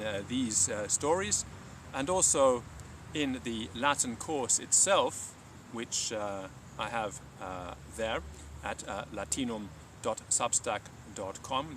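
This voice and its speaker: A man speaking as a Latin teacher, explaining and reading aloud in a measured, halting live delivery. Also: mid-range voice, far from the mic, noisy background. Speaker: Latin teacher and reader of his own lesson texts.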